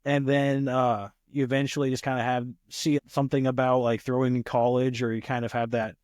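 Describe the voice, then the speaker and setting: A young man hosting a podcast, asking long, rambling questions peppered with "like" in a relaxed conversational way. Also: mid-range voice, fairly quick, dry recording, clean studio sound. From Nebraska, United States. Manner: rambling, heavy use of "like". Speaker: podcast host. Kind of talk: casual interview chat.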